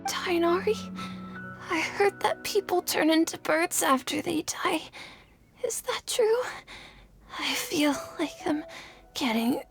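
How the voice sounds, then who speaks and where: A woman voicing a sick, innocent little boy in a video game, with a small, breathy, frightened voice. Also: extremely high pitch, expressive, slow, long pauses, faint music behind, heavily acted. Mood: weak, tearful. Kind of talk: video game character acting.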